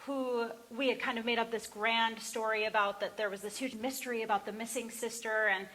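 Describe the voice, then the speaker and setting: A woman's voice: fairly high pitch, fairly even intonation, sharp tone. A woman talking casually and reflectively between songs at a live barn performance, searching for her words. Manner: halting, informal, searching for words. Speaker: singer-songwriter introducing songs. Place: barn, live before a small audience. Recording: live in reverberant barn, music behind.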